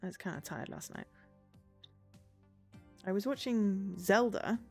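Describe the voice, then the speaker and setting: A woman's voice: medium pitch, unhurried, slightly rough, faint music behind. A woman chatting casually and in a rambling way as a game streamer on a livestream. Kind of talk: casual livestream chat and reading game dialogue aloud.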